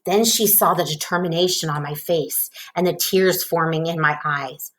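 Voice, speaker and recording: A woman reading a children's storybook aloud in an expressive narrating style. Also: low voice, normal speed. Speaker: storybook reader.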